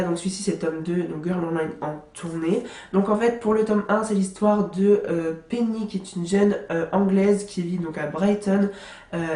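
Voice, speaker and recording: A woman's voice: on the low side, dark tone. A young woman vlogger with a stuffy nose from a cold, chatting casually about books she loves, with lots of fillers and restarts. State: congested with a cold. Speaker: book vlogger. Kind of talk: casual book review monologue. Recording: echoey room.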